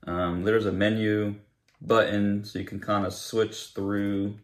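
A man's voice: lowish voice, level tone, takes it slowly. A young adult man giving a casual, unscripted product review in a plain-spoken, explanatory way, recorded indoors in a living room.

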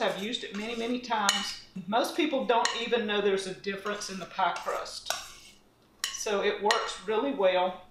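A woman's voice: mid-range voice, slight background noise. A middle-aged woman, chatting homespun-style as she demonstrates a recipe in her home kitchen. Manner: chatty and homespun, talking while mixing dough by hand. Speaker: cooking channel host. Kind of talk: casual cooking demonstration. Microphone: camera across the counter. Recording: roomy kitchen, mic at a distance.

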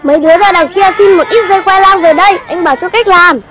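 A woman's voice: extremely high pitch, fast talker, a loud crowd, heavy background noise. A woman voicing a cocky, scheming schoolboy in a cartoon, bossy, boastful and given to exclaiming. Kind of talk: cartoon voice acting.

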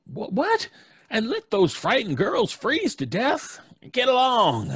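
A middle-aged man playing a gruff, determined small-town doctor in an old-time radio horror play, with dramatic pauses. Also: very high voice, huge pitch swings, a bit bright, no room sound, clean studio sound, exaggerated voice. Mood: urgent, gruff. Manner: urgent, commanding, dramatic pauses.

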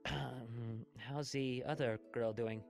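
A man's voice: mid-range voice, fairly even intonation, slow pace, gravelly, a little mellow, soft background music. A man playing a kindly, reflective alien commander in a video game cutscene. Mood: subdued.

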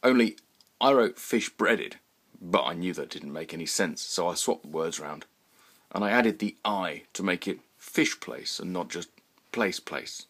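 A man's voice: low voice, lively intonation, fairly bright tone, full character voice. A man voicing a blunt, stubborn sign maker in a read-aloud story, speaking with slangy emphasis. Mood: smug. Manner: blunt, slow and emphatic, informal. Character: stubborn, truculent tradesman, a sign maker, father.

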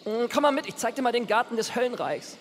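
A man's voice: extremely high pitch, very animated, fast. A man playing a casual, wooing devil in a German radio play, with a coaxing manner. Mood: casual, proud. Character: casual, wooing devil showing off hell's garden. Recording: faint background noise, bleating sheep.

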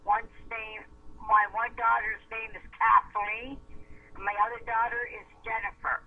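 A woman's voice: a little high, little pitch movement, a little slow. A middle-aged or older woman from Canada, answering briefly and with reserve in a phone conversation. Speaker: call recipient. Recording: tinny, band-limited phone line.

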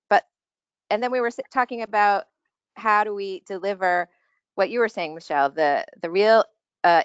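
A woman's voice: mid-range voice, fairly bright tone, clean tone, no room sound. A woman taking part in an online meeting, talking casually and raising questions for the group. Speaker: meeting participant. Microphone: video call. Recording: dull lo-fi video call audio.

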